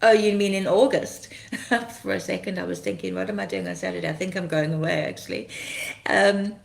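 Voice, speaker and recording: A woman, slightly croaky from being ill, hosting a casual ministry livestream, chatting, laughing often and greeting viewers by name. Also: mid-range voice, steady tone, a little fast, reverberant. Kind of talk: casual livestream chat reading comments.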